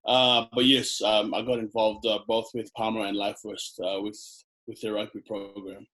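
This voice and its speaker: A middle-aged man from Fiji who grew up in New Zealand, answering interview questions slowly with many ums, over a video call headset. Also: on the low side, little pitch movement, a bit bright, low-quality recording. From Fiji, raised in New Zealand. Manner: hesitant, many ums and uhs. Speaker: chiropractor guest. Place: indoor room on video call.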